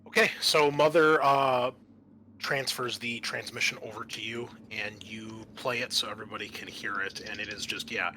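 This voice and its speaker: Male game master, mid-range pitch, sharp tone, improvised conversational narration, faint noise behind. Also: steady tone. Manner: halting, conversational.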